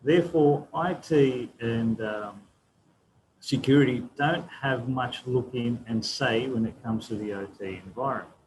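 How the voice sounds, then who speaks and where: A man's voice: mid-range voice, unhurried, a little mellow, poor audio. Man explaining, faint background hiss. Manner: explanatory, frequent tag "right?". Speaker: panelist. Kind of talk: webinar panel answer.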